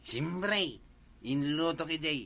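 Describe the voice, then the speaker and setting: An actor plays a merry village drinking pal with an Ilocano accent in a comedy drama. His voice is a little high and intensely bright. He drags his words out at a leisurely pace. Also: lively intonation, takes it slowly, vocal fry, exaggerated voice. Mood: easygoing. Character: jolly, slow-talking village drinking buddy.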